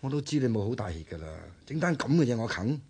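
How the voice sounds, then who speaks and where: A man acting in a radio drama as an exasperated young renovation worker with a soft heart, blunt and nagging in colloquial Hong Kong Cantonese. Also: mid-range voice, sing-song, fast, husky. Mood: grumbling.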